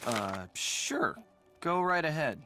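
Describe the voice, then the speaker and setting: Defensive young guy, very animated. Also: fairly high pitch, slow pace, full character voice. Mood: sheepish. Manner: informal, defensive. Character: defensive young man, casual and easily flustered. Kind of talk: video game voice acting. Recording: music behind dialogue.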